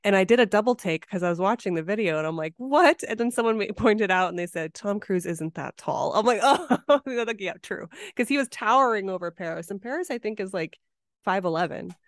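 A woman's voice: medium pitch, expressive, a little fast, no echo, studio quality. A woman hosting a podcast in a casual, conversational interview style. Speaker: podcast host.

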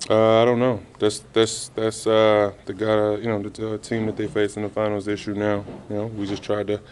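A man in his thirties answering reporters after a game, halting and subdued, with many fillers. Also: fairly low pitch, monotone, a little mellow, slight background noise. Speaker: basketball player at a postgame press conference. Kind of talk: postgame press interview. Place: arena press room, indoors. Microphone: reporters' handheld mics held close.

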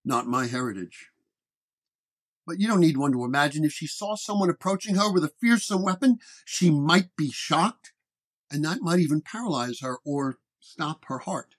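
Man as stoic male detective, dry and terse, slightly high voice, noir horror audio story. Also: lively intonation, moderate pace. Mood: dry, composed.

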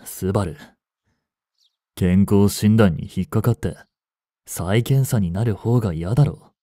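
A man's voice: on the low side, a little slow, dark tone, no room sound, clean studio sound, close to an everyday voice. An actor playing a blunt, bossy vampire older brother in a Japanese romance voice drama, scolding as he holds back his hunger. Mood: stern. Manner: blunt, rough-spoken, bossy.

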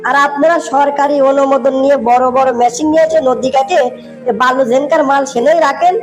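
Cheeky male sidekick for a cartoon female actor, high-pitched, northern Bangladeshi accent, deferential but needling. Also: lightly acted, rough recording. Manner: needling, deferential yet challenging. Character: cheeky, questioning male underling of an official. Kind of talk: comic cartoon dialogue.

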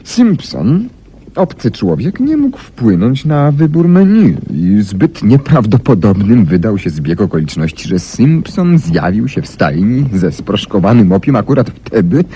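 An actor playing a brilliant, self-assured detective, explaining deductions theatrically with dramatic pauses in a radio drama. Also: male voice, on the low side, very animated, dark tone. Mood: confident, teasing. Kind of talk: 1970s Polish radio drama acting. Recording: noisy 1970s radio archive recording.

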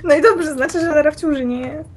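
A woman's voice: high-pitched, fast, slight background noise. A woman chatting while playing a game with a friend, giggling often and playfully complaining. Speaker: co-player. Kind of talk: casual let's-play chat.

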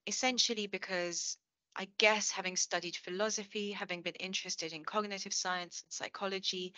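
A woman's voice: lowish voice, pushed and bright, no echo, rough recording. A woman in her thirties giving a chatty online lecture over a video call, with plenty of fillers and self-corrections. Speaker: guest lecturer. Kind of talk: online presentation. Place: home room, on a video call.

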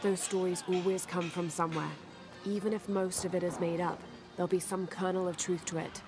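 An actress with a British accent playing a calm, determined young adventurer in a video game. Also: female voice, lowish voice, brisk, over music, close to an everyday voice. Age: young adult. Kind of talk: video game cutscene acting.

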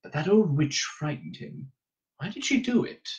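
Man acting a gruff old tutor, shrewd, wry, animated intonation, fantasy audiobook. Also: on the high side, normal speed, muffled recording, pitched above the performer's own voice. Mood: worried. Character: shrewd, gruff old male mentor and adviser.

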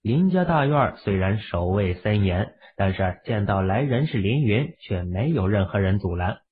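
A man's voice: on the low side, lively intonation, normal speed, slightly rough. A man narrating a novel as a scripted dramatic audiobook. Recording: muffled, phone-like, lo-fi audio.

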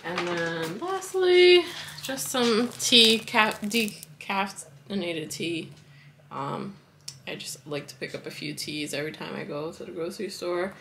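A woman's voice: fairly low pitch, expressive, unhurried, background noise. A woman in her thirties chatting casually through a grocery haul in her home kitchen, with lots of fillers. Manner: chatty, loose, many fillers and likes. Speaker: wellness vlogger. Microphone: camera on the counter, moving in and out of frame.

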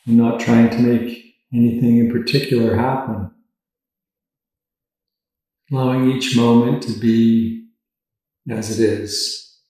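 A man guides a meditation in a gentle, level voice of medium pitch. He speaks very slowly, leaving space between phrases. The sound is strongly reverberant, as if he sits some way from the microphone. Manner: gentle, unhurried, with long pauses between phrases. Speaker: meditation teacher. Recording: very echoey room, distant from mic.

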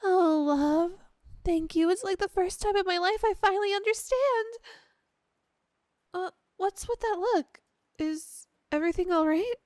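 Woman acting a very high-pitched clingy yandere girlfriend. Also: a little mellow, no room sound, clean studio sound. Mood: happy, adoring. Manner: gushing, hesitant, breaking off and restarting. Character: clingy, devoted, insecure yandere young girlfriend. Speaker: ASMR roleplay voice actor. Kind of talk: ASMR girlfriend roleplay, scripted acting.

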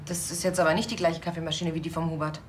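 An actress playing a wry, unbothered police officer with a dry, flippant manner in a TV crime comedy. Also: female voice, deep voice, little pitch movement, fast, background noise, natural voice.

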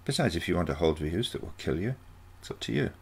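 A man voicing a calm, condescending, self-assured teenage granddaughter from the future in a short story reading. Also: low-pitched, fast, creaky, slightly rough, full character voice. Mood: cool, detached. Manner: calm, matter-of-fact, condescending.

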